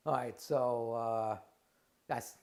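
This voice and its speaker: A man's voice: mid-range voice, fairly even intonation, slow pace, creaky, darkish tone. A middle-aged man from Russia with a Russian accent, hosting a casual live question-and-answer stream, talking in loose bursts with frequent restarts and repeated words. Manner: conversational, many restarts and repeated words, asks "right?". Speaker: trademark lawyer and company founder, show host.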